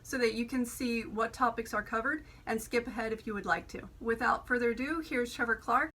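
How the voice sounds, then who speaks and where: A woman hosting an explanatory video, introducing a presenter in a clear informative way. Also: fairly high pitch, fairly quick, pushed and bright. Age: adult parent.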